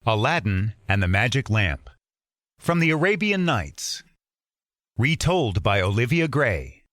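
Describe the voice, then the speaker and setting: Slow, very animated male storybook narrator. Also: mid-range voice, dry recording, clean studio sound. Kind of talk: scripted children's fairytale narration.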